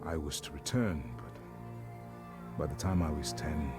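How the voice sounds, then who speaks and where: An actor playing a weary, steadfast captain in exile in a video game, speaking earnestly. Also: male voice, very deep voice, steady tone, over loud music. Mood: weary, reflective. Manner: earnest, measured. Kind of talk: video game cinematic acting.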